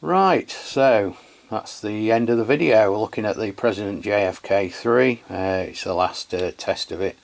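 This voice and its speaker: A man's voice: fairly low pitch, normal speed, creaky. A man with an English accent chatting casually as a radio reviewer, in a hesitant, rambling, matey way. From England. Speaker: radio review channel host. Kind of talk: casual radio chat and product testing.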